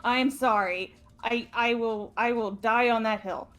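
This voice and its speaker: A woman's voice: fairly high pitch, steady tone, unhurried, soft background music. A woman chatting casually and playfully with friends during a game playthrough, making her points emphatically.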